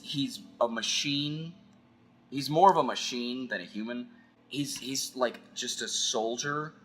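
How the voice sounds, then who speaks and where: A man with a fairly low voice gives loose, philosophical commentary. He thinks aloud as he goes, stopping and doubling back on phrases in a casual way. Faint music plays behind him. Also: fairly bright tone. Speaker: video essayist.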